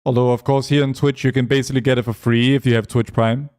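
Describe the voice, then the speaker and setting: A man from Europe streaming a game, reading chat aloud and answering it between quick asides about his play. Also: medium pitch, flat delivery, darkish tone. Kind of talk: casual gaming stream chat.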